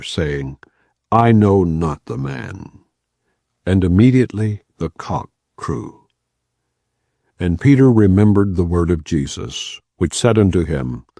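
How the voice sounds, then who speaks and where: A man reading scripture aloud in a measured, solemn way, lifting his voice for the quoted speech. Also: low-pitched, gravelly, a little mellow, no room sound, clean studio sound. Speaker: scripture reader.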